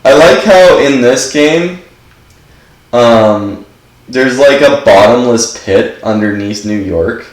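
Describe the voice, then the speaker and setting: A man's voice: medium pitch, slow pace, roomy sound, rough recording. A man giving casual gameplay commentary while stuffed up from allergies, rambling and filling his speech with "like". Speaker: game streamer.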